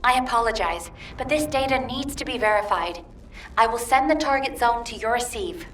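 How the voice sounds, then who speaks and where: A woman's voice: on the high side, animated, moderate pace, slight background noise. An actress playing a composed, polite female mission operator in a sci-fi video game, speaking formally and precisely. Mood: urgent, apologetic. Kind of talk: scripted video game dialogue. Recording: distant, echoey, with loud music.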